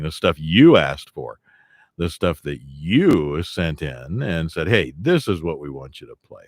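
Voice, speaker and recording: A man hosting a morning internet radio show, chatting in a relaxed, reflective way about a self-help book before playing songs. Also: low voice, dry recording. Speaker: radio host.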